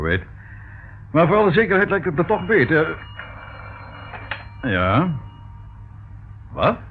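An actor playing a brisk, unflustered police commissioner in a radio play, with a clipped, authoritative manner. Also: male voice, mid-range voice. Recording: narrowband old radio recording, faint noise.

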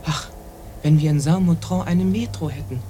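An actress playing a naive, grumbling small-town driver with earnest dreams of progress in a German radio play. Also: female voice, low voice, normal speed, mellow, slight background noise, natural voice. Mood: dreamy, earnest.

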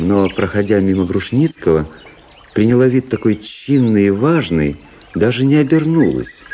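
Low, mellow gentleman narrator played by an actor. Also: slight background noise, natural voice. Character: cool, self-analysing young gentleman officer recounting his life. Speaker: narrator and lead character. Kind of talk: dramatic literary narration in a radio play.

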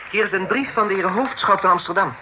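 An actor playing a brisk, dutiful official messenger in a Dutch radio drama. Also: male voice, high voice, lightly acted. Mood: brisk, formal. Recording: slightly noisy old radio recording.

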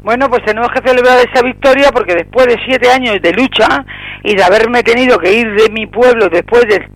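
A woman with an accent from Spain and a gravelly voice, talking spontaneously as an activist guest on radio. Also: fairly high pitch, a little fast, rough recording. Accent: Spanish, from Spain. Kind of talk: spontaneous radio interview talk.

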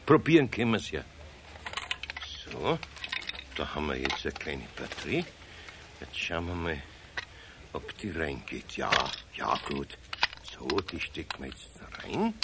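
Gentle Bavarian old carpenter, hissy tape. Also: male voice, extremely low pitch, very animated, a little slow, long pauses, exaggerated voice. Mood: calm. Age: older. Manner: gentle, dry, teasing. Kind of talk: 1970s radio play acting.

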